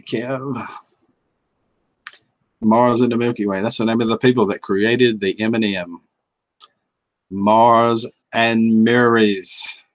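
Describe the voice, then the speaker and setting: A man's voice: medium pitch, fairly even intonation, slow pace, pauses often. A middle-aged man with a Southern US accent, chatting casually and folksily while he hosts a livestream and reads out the chat. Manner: folksy and chuckling, drawls out words. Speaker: livestream host reading chat.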